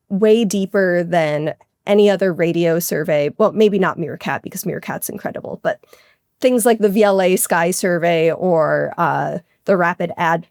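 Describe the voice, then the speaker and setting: A woman with a fairly low voice speaks as a scientist guest in an interview. The sound is very dry, with no room echo. She explains technical material informally and keenly, adding asides as she goes. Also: darkish tone. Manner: keen, informal, technical with frequent hedging asides. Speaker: interview guest, research astronomer.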